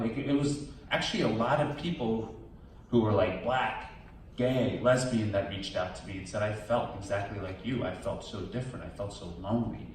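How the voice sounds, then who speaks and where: Man, low voice, candid, casual, premiere Q&A, distant mic, echoey hall, faint room noise. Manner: candid, casual, quotes himself. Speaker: documentary subject. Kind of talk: premiere Q&A answers. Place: theater at a film premiere.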